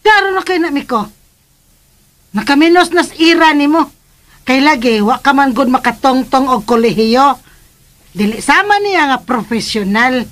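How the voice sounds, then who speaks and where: An actress playing a gossipy, sharp-tongued middle-aged mother in a radio drama, scolding and sardonic, with a Filipino accent. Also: high-pitched, normal speed.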